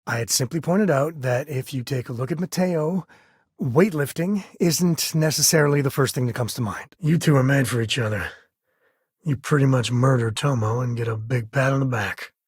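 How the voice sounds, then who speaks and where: A husky-voiced frontier spaceman acted by a man for a video game, recorded close and dry. He is an easygoing ranger and family man with a folksy, wry way of talking. His pitch sits in an ordinary male middle range. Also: normal speed, dry recording, natural voice. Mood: relaxed, wry. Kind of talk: video game character dialogue.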